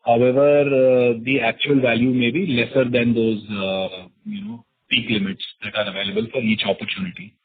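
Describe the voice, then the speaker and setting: Husky Indian man on a tinny phone line. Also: medium pitch, animated, normal speed, few pauses. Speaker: company executive answering analysts. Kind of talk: earnings call answers, unscripted explanation. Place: conference call.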